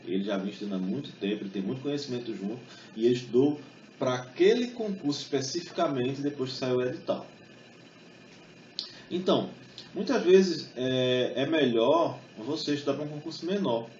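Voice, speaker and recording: A young man gives a relaxed, conversational talk, dropping fillers and tag questions as he goes. He is caught from a distance in a big echoing hall, and the sound is muffled and dull with a faint hiss underneath. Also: mid-range voice, brisk. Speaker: speaker giving a talk. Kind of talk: public talk with exam study tips. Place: event hall.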